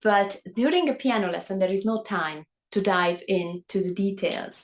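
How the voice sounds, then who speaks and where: A woman's voice: medium pitch, moderate pace. A woman from Hungary with a Hungarian accent, a piano teacher talking earnestly about her path as an interview guest over a video call. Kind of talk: conversational storytelling.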